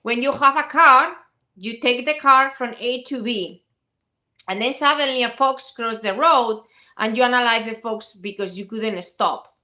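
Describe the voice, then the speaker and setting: A woman from Spain with a Spanish accent argues her point in a fairly high, bright voice. She is insistent and keeps cutting in on the other speaker, laughing now and then. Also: a little slow. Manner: insistent, interrupting, laughs often. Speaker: vegan activist host. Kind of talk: heated debate.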